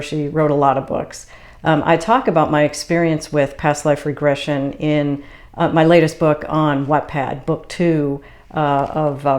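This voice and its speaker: A woman with a notably low-pitched voice talks in a calm, unhurried way, as if chatting to viewers about her own experiences. She is from the Boston area and speaks as a spiritual channeler hosting her own video. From Boston area, USA. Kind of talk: casual explanatory monologue.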